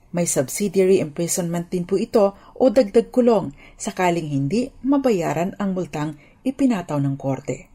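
A woman's voice: on the low side, warm tone, husky. A Filipino woman speaking as a lawyer on radio, explaining the law in a formal, polite, instructive manner. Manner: formal, polite, instructive, mixes in English legal terms. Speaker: lawyer, radio legal commentator.

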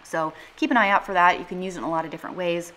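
A woman's voice: lowish voice, rapid-fire, a bit bright, rough recording. A woman in her thirties talking casually to the camera as a budget cooking vlogger, earnest and practical as she explains prices step by step. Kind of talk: casual vlog talk to camera. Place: living room, sitting on a couch.

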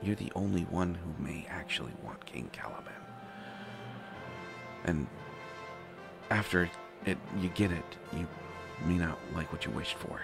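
A man's voice: low-pitched, animated, unhurried, creaky, pauses often, heavily acted. A man playing an anxious, stammering young prince who is reluctant to become king, in a roleplay audio drama. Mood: pleading, despondent. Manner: flustered, hesitant, stammering, sighing. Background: faint music.

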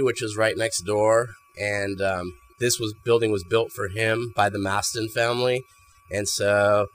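A man speaks in a somewhat low voice, answering an interviewer in a small barbershop. He talks easily, restarting and repeating words as he goes. A faint hum sits under the handheld mic. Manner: relaxed, repeats words while thinking. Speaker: barbershop owner. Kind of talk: casual interview. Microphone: handheld mic held by interviewer.

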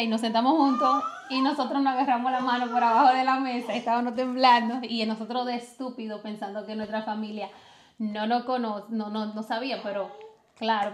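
A young woman with a fairly high voice chats casually, giggling and running her sentences together. There is a faint noise under her voice. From Dominican Republic. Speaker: co-host answering viewer questions. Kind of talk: casual chat.

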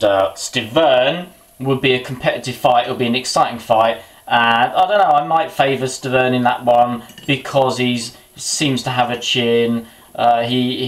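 A man, chatting casually about boxing while cooking in his small kitchen, rambling and muttering to himself, recorded on a camera's built-in mic. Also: lowish voice. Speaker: boxing vlogger. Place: small home kitchen indoors.

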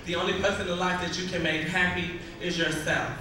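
Earnest, formal contestant in a hall. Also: male voice, sits high, level tone, a little fast, crowd noise. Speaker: pageant contestant. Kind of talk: onstage pageant answer. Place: large stage in a hall. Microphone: handheld mic through hall speakers. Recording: echoey hall taped from far off, noisy.

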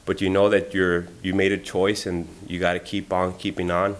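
A man's voice: sits low, little pitch movement, normal speed. A man in his thirties, a community member at a library event, talking earnestly about a poem with frequent fillers and then reading it aloud at a lectern. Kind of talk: personal reflection and poetry reading at a library event. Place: library meeting room. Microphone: lectern microphone.

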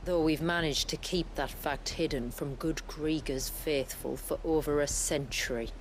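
An actress in a video game cutscene playing a proud, solemn envoy of a hidden tribe, speaking formally and with poise. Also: female voice, low-pitched, fairly even intonation, a little slow, slight background noise.

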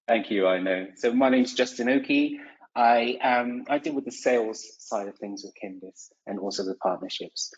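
Sales rep, London accent, husky voice, distant dull call audio. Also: male voice, medium pitch, normal speed. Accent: London English. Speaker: sales representative. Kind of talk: webinar self-introduction.

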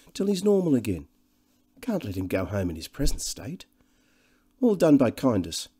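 Breezy, high-pitched posh chap, acted by a man. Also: dark tone, slightly rough. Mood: confiding. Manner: jaunty, flippant, glib. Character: breezy, smooth-talking upper-class schoolfellow with glib charm. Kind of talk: audiobook character dialogue.

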